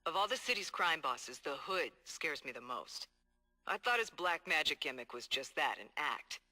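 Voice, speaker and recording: Game detective: hard-boiled, earnest man, intensely crisp and tense delivery, music underneath. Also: a little high, big pitch swings, moderate pace, bright, forceful tone, heavily acted. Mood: uneasy, skeptical. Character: determined, hard-boiled male police detective dictating case logs. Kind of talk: video game audio log monologue.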